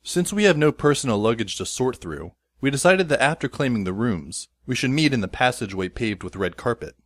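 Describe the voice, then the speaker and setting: A man playing a wry, resigned teenage schoolboy who narrates an audio drama in a deadpan manner. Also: medium pitch, fairly quick, dry recording, clean studio sound, close to an everyday voice. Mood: wry, measured. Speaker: narrator and lead character. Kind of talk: first-person scripted audio drama narration. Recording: music behind the voice. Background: instrumental music.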